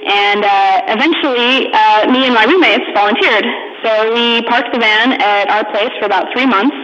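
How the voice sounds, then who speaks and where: A woman giving an informal talk, telling a personal story in a halting, amused manner. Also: fairly high pitch, a bit bright, muffled recording. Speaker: conference speaker, radio hacker community member. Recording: echoey hall with long reverb.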